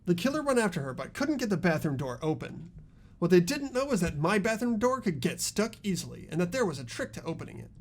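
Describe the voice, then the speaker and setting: A man voicing an earnest high school boy in a mystery game, explaining a case in a deliberate, dramatic way. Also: big pitch swings. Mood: grave, determined. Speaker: amateur voice actor in a game playthrough.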